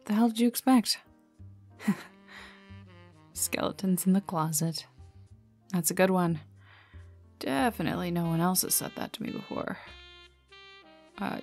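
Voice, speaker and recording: A woman's voice: fairly low pitch, a little slow, long pauses, a little mellow. A woman playing a sarcastic female private detective in a noir ASMR roleplay, with a dry, casually streetwise delivery. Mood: casual, dryly hospitable. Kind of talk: noir ASMR audio roleplay, intimate second-person acting. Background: music, rain and city ambience.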